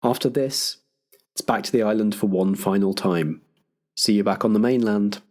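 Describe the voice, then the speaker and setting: A man's voice: fairly low pitch, moderate pace, a little mellow. A man acting as compere, delivering scripted programme notes as a podcast host.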